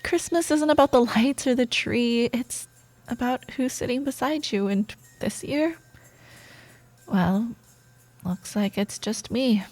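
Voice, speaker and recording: A woman playing a proud, teasing yet wistful rival coworker in an intimate ASMR romance roleplay monologue, with playful banter, sighs and soft pauses. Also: a little high. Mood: sheepish, melancholy. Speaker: audio roleplay performer.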